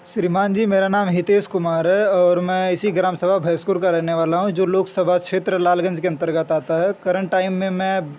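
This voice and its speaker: Young guy student, high voice, kinda formal, muffled outdoor sound. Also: flat delivery, normal speed, hardly any pauses, a little mellow, a little hiss or hum. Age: young adult. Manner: formal, mixes English terms into speech. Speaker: student interviewee. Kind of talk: formal spoken opinion. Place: outdoors in a village.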